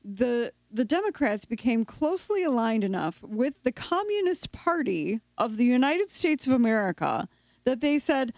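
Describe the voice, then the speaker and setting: A woman's voice: a little high, very animated, moderate pace, a little mellow, no echo. A woman co-hosting a talk radio show, making sarcastic remarks and laughing along with her co-host. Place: radio studio. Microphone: studio microphone.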